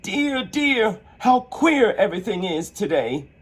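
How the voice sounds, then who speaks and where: A man's voice: sits high, very animated, slow pace, slightly rough, voice pushed up, exaggerated voice. A man voicing a curious, bewildered young girl who is easily upset, in a storybook reading. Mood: puzzled. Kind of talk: storybook character voice.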